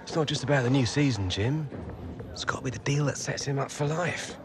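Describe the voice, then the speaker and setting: A man's voice: medium pitch, a little fast, background noise, natural voice. A man playing an upbeat football agent in a video game cutscene, speaking in a reassuring, jokey way. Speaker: player's agent.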